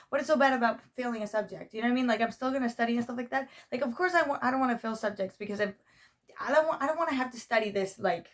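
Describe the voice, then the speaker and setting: A young adult woman chatting casually in a vlog, with a slightly raspy voice and a rambling, self-interrupting manner. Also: a little high. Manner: rambling, self-interrupting, frequent check-in tags. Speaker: vlogger.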